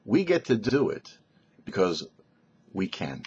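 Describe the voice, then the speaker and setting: A middle-aged man, a rabbi giving a short religious teaching to camera in a measured, explanatory way. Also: lowish voice, wildly swooping pitch, darkish tone, muffled recording, a little hiss or hum. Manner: measured, explanatory, rhetorical questions. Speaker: rabbi, show host.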